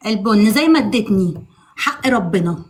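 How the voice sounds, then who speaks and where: A woman's voice: mid-range voice, lively intonation, moderate pace. A woman with an Egyptian accent in a casual live chat, teasing and insistent in a mock-stern way. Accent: Egyptian Arabic. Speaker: live stream participant.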